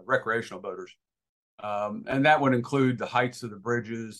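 A man's voice: medium pitch, fairly bright tone. Male boating educator as interview guest: mid-range voice, practical, unscripted, hesitant, explains step by step. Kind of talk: informal explanatory interview answers.

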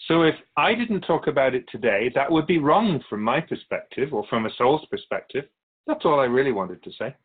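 A man playing an otherworldly keeper of souls in a radio drama, speaking in a measured, formal, philosophical way with patient wisdom. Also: medium pitch, expressive, breathy edge. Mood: probing, earnest. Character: otherworldly male keeper of souls, wise, patient, solemn messenger. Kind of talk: radio drama interview acting. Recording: dull lo-fi audio.

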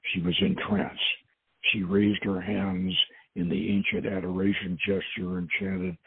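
Husky, gravelly man reading over phone line. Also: low voice, fairly even intonation, dry recording. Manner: halting, stumbles and corrects words. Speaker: host reading own novel aloud. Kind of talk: dramatic audiobook narration. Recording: tinny phone-line sound.

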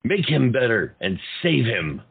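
A man voicing a gravelly gentle giant. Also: mid-range voice, sing-song, a little slow, heavily acted. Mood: pleading. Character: big gentle burnt male orderly, pleading. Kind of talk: amateur audio drama acting. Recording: tinny phone-like sound, faint music.

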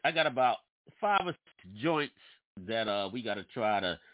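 A man's voice: lowish voice, normal speed, no echo. A man from New York hosting an internet radio show, talking casually and preachily straight to his listeners, congested from allergies. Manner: animated, preachy, addresses listeners directly. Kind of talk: casual talk radio monologue. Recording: dry, tinny phone-like sound.

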